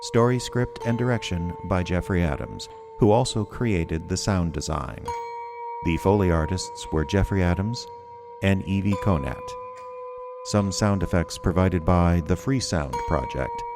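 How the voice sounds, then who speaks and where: A man's voice: low-pitched, animated, unhurried. A man reading scripted credits as the announcer of an audio drama. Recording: music under the voice.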